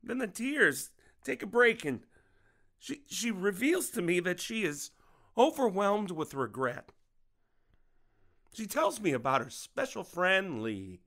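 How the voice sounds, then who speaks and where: Menacing father, American accent, audio drama, fairly high-pitched, slow, controlled, vengeful. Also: big pitch swings, a bit bright, full character voice. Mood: bitter, anguished.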